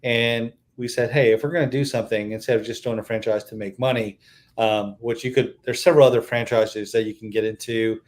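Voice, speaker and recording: A man's voice: mid-range voice, fairly even intonation, a little fast. A middle-aged man, a restaurant owner being interviewed, telling his story earnestly with frequent fillers. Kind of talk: casual interview chat.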